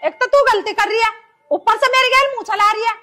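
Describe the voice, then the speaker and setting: A woman playing a sharp-tongued older mother-in-law in a family drama skit, nagging and scolding. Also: extremely high pitch, fairly quick. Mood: angry.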